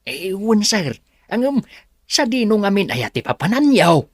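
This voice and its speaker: An actor with a Filipino accent playing a humble, anxious servant in a radio drama, hesitant and deferential. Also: male voice, high-pitched, big pitch swings, moderate pace, dry recording, clean studio sound. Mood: worried, confused. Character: anxious, humble male servant pleading with his boss.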